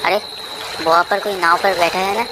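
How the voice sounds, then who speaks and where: A man's voice: sits high, steady tone, moderate pace, close to an everyday voice. A man playing an anxious man in a horror story, speaking in a dramatic, exclamatory way. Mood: worried, searching. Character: anxious man caught in eerie night-time encounters. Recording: very noisy, with vehicle-like ambience.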